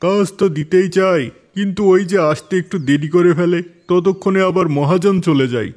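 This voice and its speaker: A man's voice: sits high, moderate pace, darkish tone, natural voice. A man voicing a helpful, sympathetic market worker in a moral-tale cartoon, friendly and matter-of-fact.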